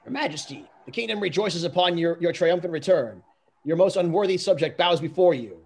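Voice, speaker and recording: A man's voice: on the high side, lively intonation, brisk, a little mellow, breathy edge. A man voicing a servile, exaggeratedly deferential wizard henchman in an amateur script reading. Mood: fawning.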